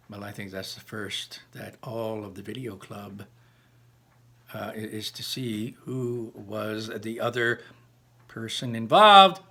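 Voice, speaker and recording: A man reading a children's novel aloud like a teacher, with animated, dramatic emphasis and explanatory asides to listeners. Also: medium pitch.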